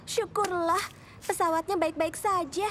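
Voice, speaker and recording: An actor voicing an earnest young inventor kid in an animated comedy, keen and polite. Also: very high-pitched, unhurried, noisy background. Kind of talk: animated comedy cartoon dubbing. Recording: music behind the voice.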